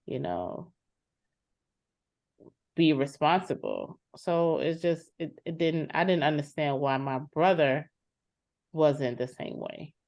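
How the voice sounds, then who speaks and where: A woman's voice: deep voice, animated, takes it slowly, creaky, long pauses, darkish tone, full character voice. A woman playing a practical, frustrated sister in an improvised session over a video call, plain-spoken and insistent. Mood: frustrated, earnest. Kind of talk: improvised role-play dialogue. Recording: slightly muffled video-call audio.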